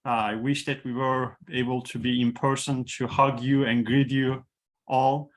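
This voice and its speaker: A man whose first language is Turkish, hosting an online talk on a video call and speaking haltingly with many fillers and restarts. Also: mid-range voice, flat delivery. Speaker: host, institute president.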